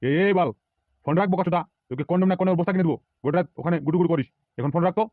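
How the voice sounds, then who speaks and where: A man's voice: fairly high pitch, racing, dry recording, narrow, tinny audio, lightly acted. A man voicing a bossy, foul-mouthed, easily rattled politician gang boss in a comic cartoon, barking orders. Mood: flustered, urgent. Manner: blustering, swearing, barking orders.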